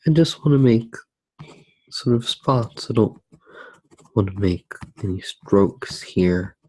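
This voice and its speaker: Male ASMR artist, very low and husky, soft-spoken, thinking aloud as he demonstrates drawing. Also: expressive, slow, dry recording, poor audio. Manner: soft-spoken, musing, hesitant.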